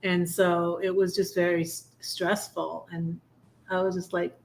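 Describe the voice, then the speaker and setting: A woman hosting a casual live chat, telling personal stories in a halting, rambling way. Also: lowish voice, flat delivery, creaky, rough recording. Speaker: live stream host.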